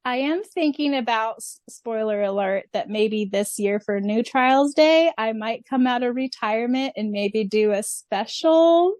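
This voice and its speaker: Excited chatty woman on a fan podcast, highish voice, super dry sound no room. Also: measured pace, hardly any pauses, no room sound. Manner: chatty, frequently laughing, enthusiastic. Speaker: podcast co-host. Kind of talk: casual fan podcast chat.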